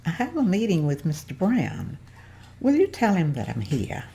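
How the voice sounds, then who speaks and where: A woman reading the part of a determined community leader in an amateur table read, earnest and steady. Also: sits low, normal speed, vocal fry, exaggerated voice. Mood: polite.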